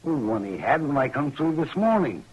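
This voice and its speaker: An actor playing a guileless, garrulous traveling peddler in a 1950s Western radio drama, with folksy speech. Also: male voice, a little high, big pitch swings, brisk, a little mellow, husky, highs cut off. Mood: chatty, innocent. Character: chatty, guileless traveling tinware peddler, man. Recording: noisy vintage radio recording.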